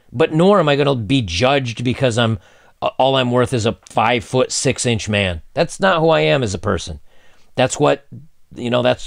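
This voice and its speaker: A man's voice: on the low side, gravelly, no echo, studio quality. A middle-aged man hosting a casual advice monologue for men, speaking bluntly and conversationally. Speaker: host giving dating commentary and advice to men.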